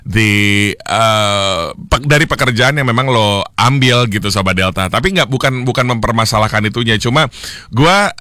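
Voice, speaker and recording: A young man hosting a solo radio show, chatting casually with listeners and slipping English phrases into his talk, with a slightly hoarse throat. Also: lowish voice, normal speed, sharp tone, dry recording. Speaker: radio host. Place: radio studio. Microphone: studio microphone.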